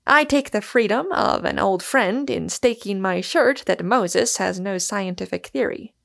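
A woman voicing a witty gentleman in a storybook, cheerful and mock-solemn, who declaims playfully as if making a speech. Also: medium pitch, measured pace, vocal fry. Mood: cheerful, declamatory.

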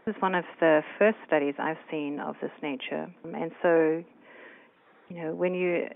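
A woman's voice: low-pitched, steady tone, normal speed, gravelly. A woman from New Zealand, a researcher answering interview questions thoughtfully with many fillers. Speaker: researcher guest. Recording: tinny phone line.

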